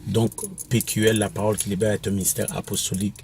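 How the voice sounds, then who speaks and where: A minister from Quebec, whose first language is English, answers interview questions in a halting way, with many restarts and fillers. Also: male voice, on the low side, little pitch movement, vocal fry, a little mellow, slight background noise. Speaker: apostolic minister and author.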